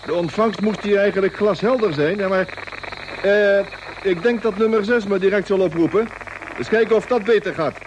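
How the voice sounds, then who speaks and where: A man acting an excitable, chatty spaceship radio operator in a science fiction radio play. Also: high voice, normal speed, close to an everyday voice. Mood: relieved, puzzled. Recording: noisy old radio play recording.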